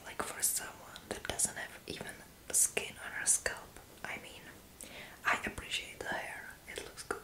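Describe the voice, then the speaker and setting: A young woman in her twenties whispers through an ASMR roleplay. She takes her time, very slow and soft, with a casual way of talking. She sits close to the mic in a small room. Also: poor audio. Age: 20s. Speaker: ASMR creator.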